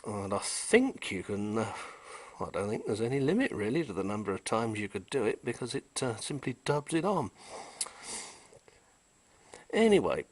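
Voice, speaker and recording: A man giving an unhurried, technical show-and-tell of an old tape recorder he repaired, pausing often with "uh" as he points out its features. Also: fairly low pitch, very animated. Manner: unhurried, technical, with frequent "uh" pauses. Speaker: vintage electronics restorer. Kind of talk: casual show-and-tell demonstration.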